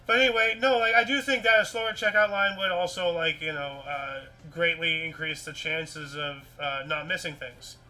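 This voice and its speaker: A man hosting a casual news show, reading articles and chatting in a rambling, repetitive, animated way. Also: on the high side, moderate pace, few pauses, soft background music, poor audio. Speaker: host of a weird-news talk show.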